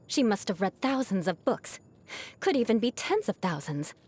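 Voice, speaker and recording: A woman voicing a proud young noblewoman knight in a video game, composed and haughty, with formal diction and a grudge-holding edge over a softer heart. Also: medium pitch, very animated, normal speed, slightly rough. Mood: cool, vengeful. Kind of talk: scripted video game character voice lines.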